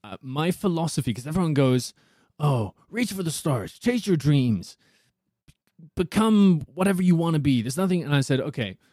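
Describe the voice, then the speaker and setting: A man from South Africa, an actor chatting casually on a podcast with a husky, soothing voice and a thoughtful manner. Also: a little high, sing-song, moderate pace, warm tone, no room sound, clean studio sound.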